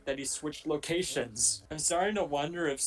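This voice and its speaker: A man's voice: a little high, moderate pace, poor audio. A man chatting casually and jokingly as he co-hosts a film commentary.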